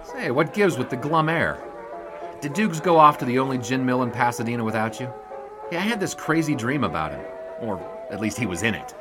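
A man's voice: mid-range voice, big pitch swings, a little fast, natural voice. A man acting as a wry 1920s American newspaper sportswriter in an audio drama, using period slang. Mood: jaunty, teasing. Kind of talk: audio drama dialogue and first-person narration. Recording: music behind the voice.

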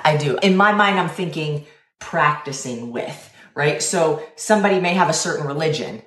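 A woman in a casual discussion, measured and conciliatory, reasoning aloud as she goes. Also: deep voice. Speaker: co-leader of a community discussion. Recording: echoey room.

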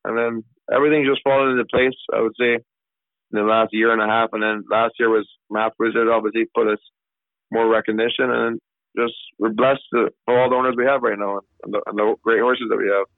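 Man, 30s, racehorse trainer, rapid casual phone interview, tinny line. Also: fairly low pitch, little pitch movement, no room sound. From Barbados. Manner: fast, casual, with many restarts and fillers. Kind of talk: radio interview answers.

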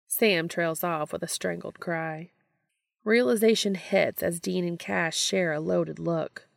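A woman reading fan fiction aloud in expressive storytelling narration. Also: lowish voice, slow, vocal fry, no echo, studio quality.

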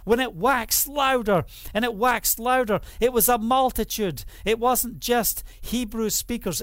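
High-voiced Scottish preacher, dry sound. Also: male voice, no room sound. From Scotland. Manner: asks the audience questions and answers them; reads scripture aloud then explains it. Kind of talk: sermon.

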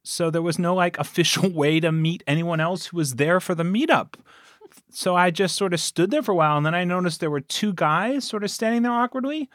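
A man's voice: sits high, a little fast, a bit bright, dry recording, clean studio sound. A man chatting casually as a podcast guest, telling stories in a jokey way and chuckling as he talks. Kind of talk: casual storytelling chat.

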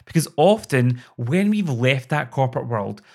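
A middle-aged man with a Scottish accent hosts his own podcast, speaking in an earnest, upbeat coaching tone. His voice sits at an ordinary middle pitch. The talk is a prepared monologue aimed straight at the listener. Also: animated, moderate pace, a little mellow. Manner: upbeat, earnest, motivational delivery. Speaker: podcast host, performance coach.

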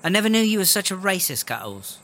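A man's voice: high-pitched, sing-song, quick pace, sharp tone, full character voice. A man playing a brash, easily offended English battle rapper in a comedy audio drama, ranting in crude, sarcastic slang. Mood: mock indignant.

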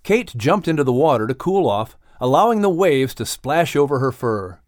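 Lively male children's audiobook narrator. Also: mid-range voice, normal speed, no echo, clean studio sound. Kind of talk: scripted children's story narration.

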